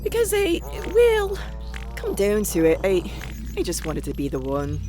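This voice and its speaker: A brash Scottish spacewoman, acted by a woman in an audio comedy. Her pitch is medium and very lively, her manner blunt and sardonic, with faint music behind. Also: unhurried. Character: brash, sweary, bluffing spaceship crewwoman.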